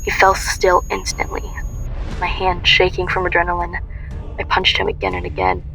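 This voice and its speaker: A woman's voice: on the low side, very animated, normal speed, vocal fry, music in the background, poor audio. A woman playing a young heroine who recounts her ordeal in intense first-person dramatic narration. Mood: tense intense.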